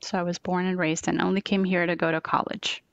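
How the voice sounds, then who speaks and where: A woman's voice: fairly low pitch, level tone, fairly quick, creaky, dull audio. A middle-aged woman from Colombia answering interview questions earnestly, often repeating words and checking in with "right?". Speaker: interview guest.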